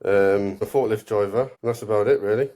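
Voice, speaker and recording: A man's voice: fairly low pitch, brisk, dark tone. An Englishman with an English accent chatting briefly and plainly on a video call. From England. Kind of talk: casual chat.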